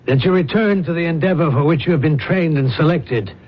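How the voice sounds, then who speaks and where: A man playing a stern, lordly ruler in a radio drama, with a husky voice and formal, imperious commands. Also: a little high, fairly quick, a little hiss or hum, close to an everyday voice. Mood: coldly severe. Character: stern authoritarian male ruler, lordly, coldly controlled. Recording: dull old radio broadcast.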